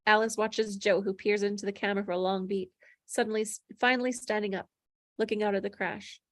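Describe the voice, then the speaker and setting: A woman's voice: mid-range voice, steady tone, a little fast, no room sound. Woman reading out script directions, plain delivery, stumbles a bit, dry close sound. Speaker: stage directions reader. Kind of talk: table read of screenplay directions.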